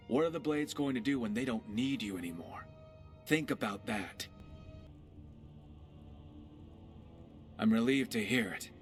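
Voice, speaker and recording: An actor playing a roguish, witty pirate companion in a video game, talking in a wry, philosophical, conversational way. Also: male voice, mid-range voice, close to an everyday voice. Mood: earnest, pointed.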